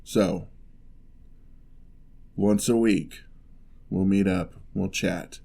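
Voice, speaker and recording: A man playing a lonely, gentle male giant in a fantasy ASMR roleplay, speaking in a measured, wry, slightly formal way. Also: sits low, steady tone, gravelly, husky, exaggerated voice. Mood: softly companionable.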